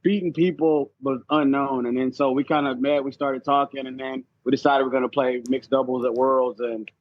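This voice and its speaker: A man's voice: medium pitch, steady tone, normal speed, no room sound. A man guesting on a podcast, telling stories colloquially and checking in with the listener, over a dull lo-fi connection. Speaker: podcast guest, player and mentor. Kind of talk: casual storytelling.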